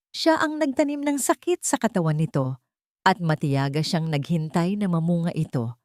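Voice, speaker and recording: A woman's voice: sits low, a little mellow, no echo, clean studio sound. A woman narrator reading a scripted dramatic story.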